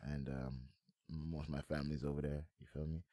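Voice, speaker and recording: Young male rapper guest, very low voice, dry close sound, loose slangy interview talk. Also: flat delivery, a little fast, warm tone, no echo. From France, moved to Montreal, Canada at eight. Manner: slangy, often checks in with "you feel me". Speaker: guest, hip hop artist. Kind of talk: casual chat, interview answers.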